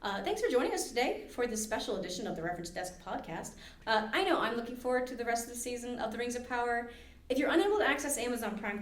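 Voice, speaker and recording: A woman's voice: on the high side, distant mic. A woman hosting a library podcast, chatting through a trivia quiz with many fillers and restarts. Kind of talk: casual chat with trivia quiz. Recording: roomy echo.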